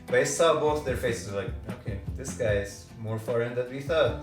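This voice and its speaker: A man's voice: medium pitch, normal speed, over music. A man from Iran, a guest comedian chatting casually on a podcast, self-deprecating and loose, with frequent "like" and "right?". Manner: laid-back, self-deprecating, lots of "like" and "right?". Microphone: room mic away from speaker. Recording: roomy, distant mic.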